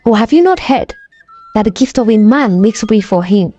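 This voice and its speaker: A woman's voice: medium pitch, expressive, moderate pace, mellow, highs cut off, faint music behind, close to an everyday voice. A woman voicing an elderly female spirit who guards a forest portal, with formal questioning. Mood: solemn challenge.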